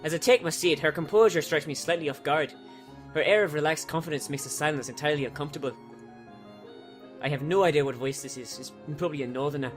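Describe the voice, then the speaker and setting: A man's voice: a little high, fast, faint music behind. A man reading a visual novel aloud, cutting in with sarcastic, sweary jokes. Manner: sarcastic, swears freely, breaks off to joke. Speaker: let's player.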